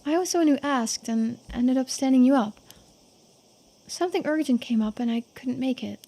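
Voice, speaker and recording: A woman voicing an earnest, apologetic young woman in video game dialogue, polite, soft-spoken and hesitant. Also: high-pitched, moderate pace, pitched above the performer's own voice. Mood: apologetic, evasive. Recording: game music behind the voice.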